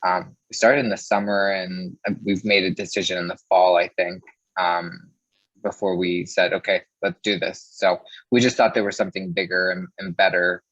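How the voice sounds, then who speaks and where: A man's voice: sits low, level tone, normal speed, a bit bright, no echo, lo-fi sound. A man who is an interview guest from the mortgage business, telling stories in a casual, chatty way and often saying "like". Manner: chatty, peppers speech with "like". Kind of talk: casual conversational storytelling.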